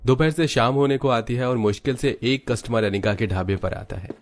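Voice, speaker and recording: A man's voice: medium pitch, brisk, soft background music. A man reading scripted narration for a cartoon story as its storyteller.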